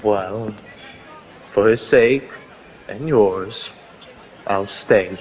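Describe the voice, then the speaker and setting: An actor playing a proud, stern army lieutenant with a sardonic edge in a radio drama. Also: male voice, on the low side, drawn-out words. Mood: stiffly courteous. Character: proud, threatening army lieutenant loyal to the governor. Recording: long hall reverb, music underneath.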